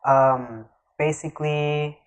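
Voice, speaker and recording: A man's voice: fairly high pitch, fairly even intonation, takes it slowly, clear, resonant tone. A man from Atlanta introducing himself loosely and hesitantly. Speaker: panel guest.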